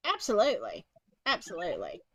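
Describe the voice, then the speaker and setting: A woman's voice: medium pitch, wildly swooping pitch, brisk, a bit bright, dry recording. A woman hosting a live stream, agreeing with her guest and reading out viewer questions. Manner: agreeable, reads out viewer comments.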